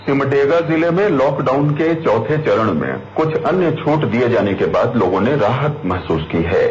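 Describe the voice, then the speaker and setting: A man giving a scripted radio news report as a correspondent, in a formal delivery. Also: medium pitch. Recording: dull radio feed with faint noise.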